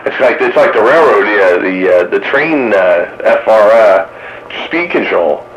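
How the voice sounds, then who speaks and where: American male radio hobbyist, lowish voice, conversational and halting, dull staticky two-way radio sound. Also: animated, slight background noise. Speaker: radio hobbyist in a group chat. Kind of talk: casual two-way radio chat. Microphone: two-way radio, recorded from a receiver stream.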